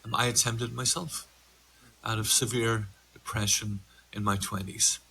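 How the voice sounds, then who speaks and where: Older irish guy telling his story, earnest and thoughtful, bright edgy voice, kinda low. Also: steady tone, vocal fry, a little hiss or hum, rough recording. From Ireland. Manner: earnest, reflective, slow and fast by turns. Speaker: meditation teacher. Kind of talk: personal storytelling in a group conversation.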